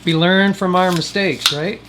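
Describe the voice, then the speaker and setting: A man's voice: high voice, steady tone, unhurried. A man giving casual how-to vlog talk as host, folksy and jokey with rambling asides. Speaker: host of DIY video series. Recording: loud air conditioner noise behind voice. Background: air conditioner hum.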